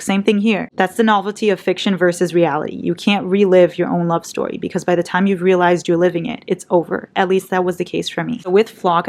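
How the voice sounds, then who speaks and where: A young woman talking casually to camera from behind it, chatty and upbeat, with a calm voice. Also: lowish voice. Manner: chatty and upbeat, with many "like"s and "kinda"s. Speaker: book vlogger. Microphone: camera mic, speaking from behind the camera.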